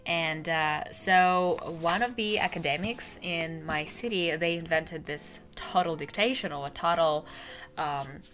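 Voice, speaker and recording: A woman's voice: low-pitched, measured pace, a bit bright. Chatty young woman with Russian as native language. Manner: chatty, many ums and uhs. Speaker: book vlogger. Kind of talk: casual book talk. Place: home room with bookshelves, indoors. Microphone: desk podcast mic on a boom arm.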